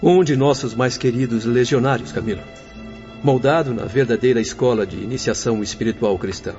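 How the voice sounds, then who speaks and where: Radio drama: man playing humble, earnest young Brazilian doctor, formal explainer, medium pitch, lo-fi audio. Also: a little mellow, lightly acted. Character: earnest, devout young male doctor spirit, humble guide. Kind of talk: radio novel acting. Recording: slightly dull lo-fi audio, faint music.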